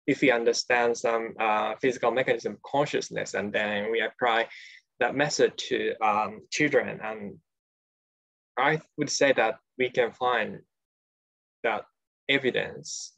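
Slow, gravelly man. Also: lowish voice, a bit bright. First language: Japanese. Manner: slow, tentative, hedging. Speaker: podcast co-host. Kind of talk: academic discussion over a video call. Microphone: video call. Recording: lo-fi video call audio.

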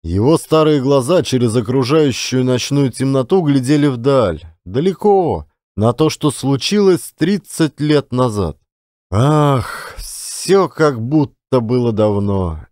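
Male narrator for dramatic literary audiobook: varied, animated delivery, studio-dry sound. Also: medium pitch, slow, no room sound.

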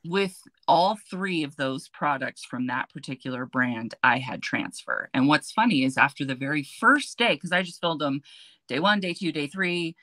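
A woman with a notably low, deep voice, talking in a chatty, animated way as she tells stories. The sound is dry and close, with no room echo. It comes through a webcam on a video call from an indoor closet. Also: moderate pace. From United States. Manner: chatty storyteller, animated, gestures while talking. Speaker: beauty influencer, guest. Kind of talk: casual chat on a livestream. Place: walk-in closet with shelves, indoors.

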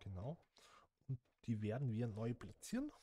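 A man's voice: mid-range voice, very animated, moderate pace, mellow. A man, chatting casually to viewers and thinking aloud as he plays a simulation game. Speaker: gaming channel host. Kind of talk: casual gameplay commentary.

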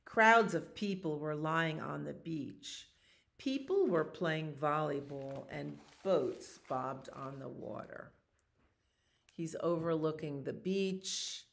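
Slow, creaky older woman reading a storybook. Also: low-pitched, fairly bright tone. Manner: unhurried, explaining pictures to young listeners. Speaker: children's storytime reader. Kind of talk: reading a picture book aloud with casual asides. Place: small library children's room.

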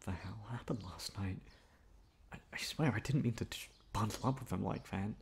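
A man playing a shy, flustered, gentle young classmate in an ASMR roleplay, hesitant and stammering. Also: on the low side, brisk, gravelly, a little hiss or hum. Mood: quietly bashful. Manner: hesitant, stammering, soft-spoken.

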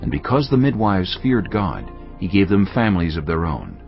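A man narrating scripture in a measured, solemn way. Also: sits low, big pitch swings, gravelly. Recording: dull studio track under music.

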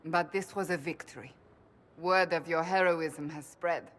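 An actress playing a composed, resolute warrior officer in a fantasy game, with a serious, formal delivery. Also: female voice, sits low, fairly even intonation, measured pace, fairly bright tone. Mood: grave, reflective. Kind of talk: dramatic fantasy game acting. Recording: noisy ambience behind the voice.

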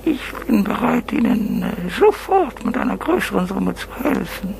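An actor playing a mysterious, menacing male blackmailer in a crime radio play, whispery, deliberate and commanding. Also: high voice, big pitch swings, vocal fry, darkish tone, full character voice. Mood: cold menace. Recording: noisy vehicle ambience.

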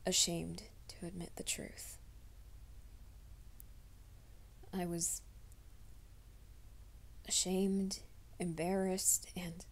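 Shy young werewolf guy played by a woman: soft half-whisper, slow, flat, mellow, stammering. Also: fairly low pitch. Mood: nervous confession. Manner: hesitant, stammering, self-conscious. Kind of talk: ASMR roleplay.

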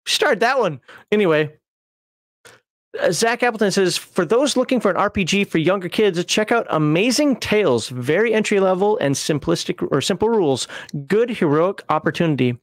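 A man's voice: high-pitched, animated, normal speed, no room sound. Upbeat man hosting a livestream, dry mic. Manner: laughs often, reads chat messages aloud. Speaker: livestream host. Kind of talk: casual livestream chat.

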